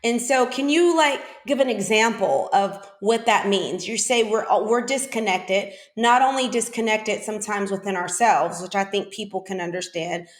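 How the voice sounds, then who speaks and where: A woman's voice: mid-range voice, moderate pace, hardly any pauses. A woman hosting a conversational podcast interview, speaking informally and rephrasing as she goes. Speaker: podcast host, doctor. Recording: roomy, echoey sound.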